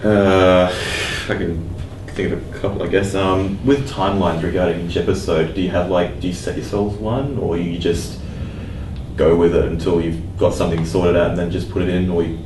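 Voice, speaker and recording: Male panel questioner, very low and halting, informal, heard from off mic over clear background noise. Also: flat delivery, reverberant, far from the mic. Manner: hesitant, groping for wording. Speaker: convention screening coordinator, asking questions. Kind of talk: casual chat.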